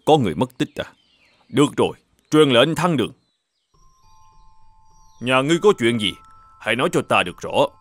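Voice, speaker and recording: A man voicing an upright, determined governor in an animated folk tale, speaking formally and with measured authority. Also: mid-range voice, no echo, faint music behind. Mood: grave, composed.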